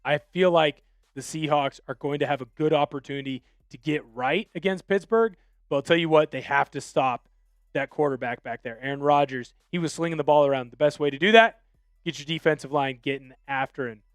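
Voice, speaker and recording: A man's voice: a little high, fairly quick, no echo. A young man from Washington State hosting a live football talk stream, opinionated and fired up as he reads out viewer texts. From Washington State, USA. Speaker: sports show host.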